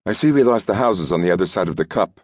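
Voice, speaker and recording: A man voicing a young lord who commands a fire brigade in an audiobook, formal, brisk and decisive. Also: low voice, expressive, dry recording, clean studio sound, pitched above the performer's own voice. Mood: brisk, practical. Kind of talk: audiobook character dialogue.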